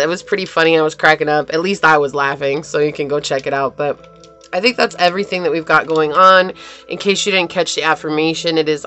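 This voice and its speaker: A woman's voice: low-pitched, fairly bright tone. A young woman with a scratchy voice, chatting casually and reassuringly as she gives a tarot reading. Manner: chatty, reassuring, laughs easily. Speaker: tarot reader.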